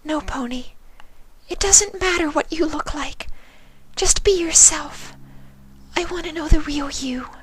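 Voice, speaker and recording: Super high soft breathy girl pony, shy n sweet, kinda hesitant, caring cartoon voice. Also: a little slow, pauses often. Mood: earnest, caring. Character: gentle, timid, caring young female cartoon pony.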